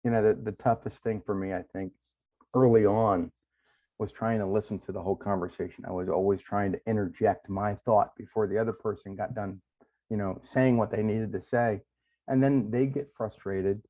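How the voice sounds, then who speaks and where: A man with a lowish voice hosts an interview over a dull, lo-fi line. He chats in a relaxed, rambling way and restarts his sentences often. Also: moderate pace. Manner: relaxed storyteller, many restarts. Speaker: podcast host. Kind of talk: casual interview chat. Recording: dull lo-fi sound.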